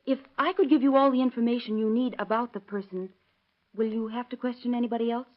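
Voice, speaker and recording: An actress playing an anxious, hesitant woman, halting, polite and apologetic, in 1930s radio drama style. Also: fairly high pitch, fairly even intonation, close to an everyday voice. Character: anxious, hesitant woman seeking her missing sister. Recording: dull vintage radio drama recording.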